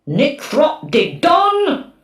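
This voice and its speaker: A woman's voice: high voice, wildly swooping pitch, extremely slow, pitched above the performer's own voice, exaggerated voice. A woman voicing a greedy moon creature king who talks in alien gibberish, in a children's storybook. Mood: questioning.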